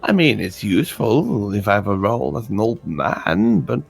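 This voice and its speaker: A man in his twenties with a croaky, gravelly voice, chatting on a team voice call with formal, old-fashioned phrasing. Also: fairly low pitch, sing-song, normal speed. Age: 20s. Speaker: game developer on the team stream. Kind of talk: casual group chat on a voice call. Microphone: voice chat microphone.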